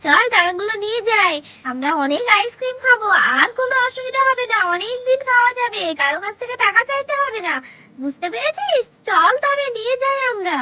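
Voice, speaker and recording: A woman voicing an eager, stubborn, excitable little girl with a whiny edge in a children's doll story. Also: extremely high pitch, fairly quick, muffled recording, exaggerated voice. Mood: persuading.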